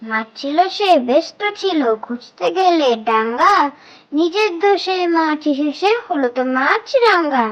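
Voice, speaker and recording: A high, childlike voice reciting nursery rhymes for children in a sing-song way, line by line. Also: expressive, unhurried, fairly bright tone, dull audio.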